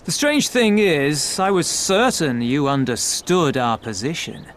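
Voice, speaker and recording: An actor playing a suspicious, sardonic pirate in a video game, speaking in ornate, formal, mocking phrases. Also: male voice, on the high side, very animated, unhurried, slight background noise. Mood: wry, mocking. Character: sardonic, suspicious male pirate confederate of middle rank. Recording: loud background music.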